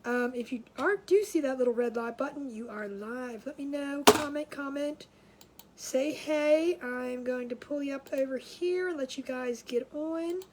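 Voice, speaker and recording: A woman's voice: high-pitched, measured pace, noisy background. A woman hosting a casual craft livestream from home, sounding nasal and congested, chatty and scattered, with frequent laughs and restarts.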